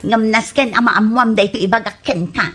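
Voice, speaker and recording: An actress with an Ilocano Filipino accent playing an emotional, plain-spoken woman in a comedy radio drama, her voice husky. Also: a little high, brisk, a bit bright, soft background music. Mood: hurt, reproachful.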